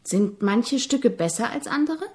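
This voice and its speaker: An actress playing an earnest, persistent young composition student in a German radio drama, questioning politely but insistently. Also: female voice, fairly high pitch, animated, measured pace, darkish tone. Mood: probing.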